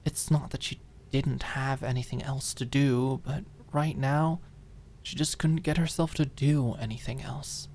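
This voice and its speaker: Low-voiced woman narrating a dramatic audiobook. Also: a little hiss or hum. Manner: expressive, deliberate, lingering on key phrases.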